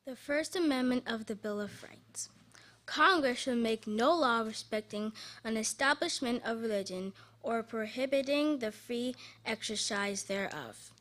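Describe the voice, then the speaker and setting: A woman reading a formal legal text aloud at a public event, halting and stumbling over words. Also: fairly high pitch, a bit bright. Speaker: reader.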